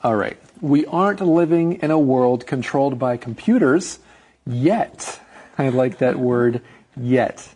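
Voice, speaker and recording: Man, dark husky voice, playful easygoing lesson co-host. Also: mid-range voice, slow pace. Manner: playful, joking, thinks aloud. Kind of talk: casual chat in an English lesson.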